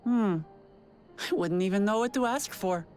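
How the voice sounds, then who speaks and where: An actress playing an earnest young warrior princess in a video game, speaking directly and eagerly. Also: female voice, medium pitch, moderate pace, mellow, soft background music, lightly acted.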